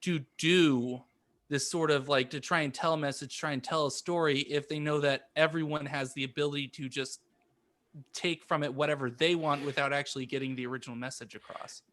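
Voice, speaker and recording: Bright, higher-pitched man chatting casually. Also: fairly even intonation, fairly quick. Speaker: podcast panelist. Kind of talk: casual discussion.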